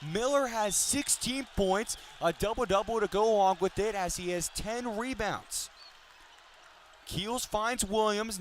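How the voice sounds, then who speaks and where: Basketball radio announcer, male, twenties, high-pitched, detailed and polished live calls, light arena ambience behind. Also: fairly bright tone. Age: 20s. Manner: detailed, polished broadcaster delivery. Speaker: sports play-by-play announcer. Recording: live sports broadcast with arena noise.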